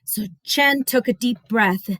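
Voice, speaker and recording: A woman reading a story aloud to young children, expressive and dramatic, with instructive explanatory asides. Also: a little high, measured pace, dry recording.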